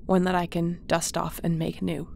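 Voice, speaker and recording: A caring lady starship captain, really croaky fry, soft reassuring chat, sci-fi roleplay. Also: fairly low pitch, flat delivery, normal speed, a little hiss or hum. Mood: relieved, thoughtful. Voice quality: creaky. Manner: tender, reassuring, conversational. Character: caring, loyal female spaceship captain. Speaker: voice actor. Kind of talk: audio roleplay acting.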